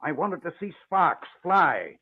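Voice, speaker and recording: A man's voice: fairly high pitch, big pitch swings, slow pace, vocal fry, slightly rough, heavily acted. Impassioned old father, muffled vintage radio. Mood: stern, impassioned. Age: older. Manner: impassioned, dramatic delivery. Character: emotional proud old father, retired crime-fighting newspaper owner. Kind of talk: 1940s radio acting. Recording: muffled, narrow-band old radio recording.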